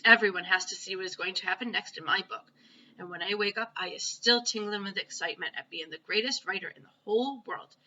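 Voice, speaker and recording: A woman voicing an excitable, boastful child who tells wild dreams in broken grammar for a children's storybook. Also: medium pitch, muffled recording. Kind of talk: children's storybook read-aloud.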